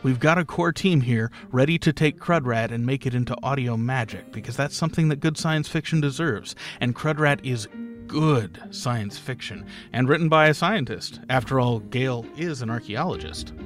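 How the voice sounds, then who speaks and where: A man giving an enthusiastic, conversational and dramatic promotional pitch as an audio producer. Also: normal speed. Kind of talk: enthusiastic crowdfunding promo narration. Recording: music bed behind voice. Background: background music.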